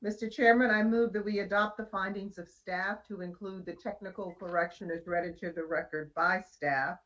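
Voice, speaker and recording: A woman with a mid-pitched voice speaks as a board commissioner in a public meeting. Her delivery is formal and procedural. The audio is a little dull. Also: steady tone, normal speed, a bit bright.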